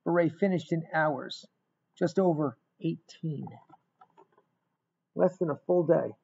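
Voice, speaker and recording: A middle-aged man reading a rhyming picture book aloud in an expressive, emphatic storytelling manner. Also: a little high, takes it slowly, vocal fry, breathy edge. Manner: emphatic, dramatic storytelling with repeated phrases. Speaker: guest reader of a children's book. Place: small indoor room. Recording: dull, lo-fi webcam sound.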